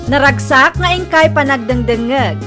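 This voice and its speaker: A woman's voice: sits high, moderate pace, over music. A woman with a Filipino accent announcing a radio drama in scripted narration.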